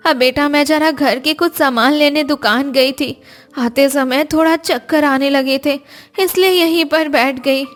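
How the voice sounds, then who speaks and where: A woman voicing a gentle, weary elderly village mother in a Hindi cartoon story. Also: high-pitched, steady tone, measured pace, faint music behind.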